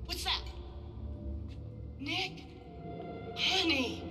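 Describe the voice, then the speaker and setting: High-voiced, emotional young woman, echoey. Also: very slow, heavily acted. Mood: overjoyed disbelief. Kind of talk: cinematic video game acting. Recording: echoey, distant, loud music behind.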